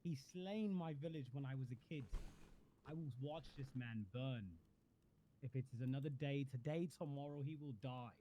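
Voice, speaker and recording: A man playing a grave, vengeful survivor of a slain village in live online roleplay, declaiming with deliberate pauses. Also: fairly high pitch, warm tone, lightly acted, poor audio.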